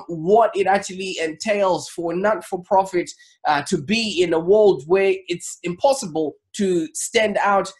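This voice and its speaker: A man in his thirties presenting an online interview show in an enthusiastic, wordy and emphatic way. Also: sits high. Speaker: show host. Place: small home office, indoors. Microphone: desk microphone.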